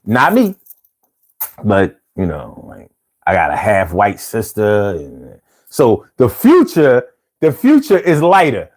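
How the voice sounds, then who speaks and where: A man's voice: lowish voice, huge pitch swings, slow, a little mellow. A man hosting a casual live stream, reading out viewer comments with laid-back, chuckling talk, from the United States.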